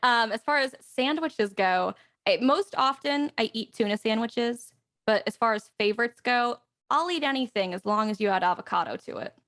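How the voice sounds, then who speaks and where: Female voice actor, somewhat high pitch, casual conversational delivery. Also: moderate pace, fairly bright tone. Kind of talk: casual chat.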